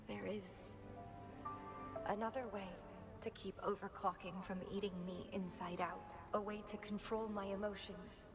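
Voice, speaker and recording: Actress as an obsessive, brooding young woman fighter, slow-spoken. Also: mid-range voice, close to an everyday voice. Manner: theatrical, slow, brooding monologue. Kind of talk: dramatic video game voice acting. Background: dramatic game music.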